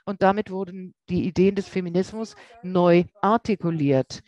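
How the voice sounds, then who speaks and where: A woman interpreting a lecture live, speaking haltingly and often correcting herself mid-word. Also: on the low side, a little mellow. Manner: halting, with frequent self-corrections. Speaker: simultaneous interpreter.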